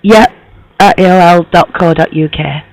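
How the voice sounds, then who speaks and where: A man putting on the voice of a young woman magazine journalist, hoarse, rambling with lots of fillers on a prank call. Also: on the high side, normal speed, dry recording, low-quality recording. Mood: friendly, chatty. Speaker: prank caller posing as interviewer.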